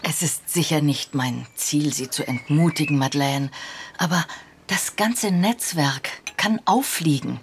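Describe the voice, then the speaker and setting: A woman's voice: low-pitched, unhurried, slight background noise. An actress playing a strict, cautious French resistance woman who admonishes formally, in a German radio drama. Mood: stern, warning.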